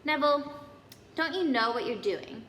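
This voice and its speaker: An impatient schoolboy sidekick played by a woman from a storybook, blunt and exasperated. The voice is high and bright with hall reverb. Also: lively intonation, moderate pace. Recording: echoey hall, background noise.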